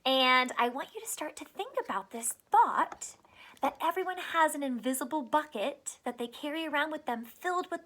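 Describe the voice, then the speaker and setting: A woman teacher reading a picture book aloud to children, adding encouraging asides and questions. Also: high-pitched.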